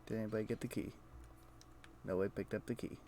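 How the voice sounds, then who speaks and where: A man's voice: fairly low pitch, steady tone, quick pace, gravelly, warm tone, slight background noise. A man giving casual, offhand gameplay commentary as a livestreamer, muttering short reactions as he plays. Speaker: game livestreamer.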